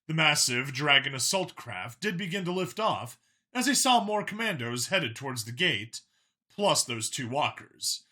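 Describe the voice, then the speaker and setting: Guy narrating an action audiobook, really bright punchy voice, dramatic scripted read. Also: fairly high pitch, big pitch swings, a little slow.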